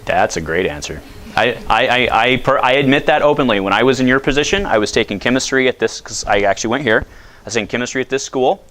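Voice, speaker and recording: A man in his thirties from the United States, giving a loud, casual talk to high school students and asking them questions. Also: lively intonation, fast. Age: 30s. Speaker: guest speaker.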